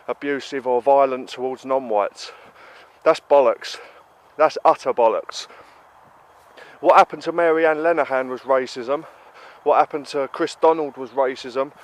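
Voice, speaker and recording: A man's voice: mid-range voice, a little hiss or hum. A British man with a stuffy nose from a cold, ranting heatedly in an emphatic monologue full of repeated rhetorical questions. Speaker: vlogger.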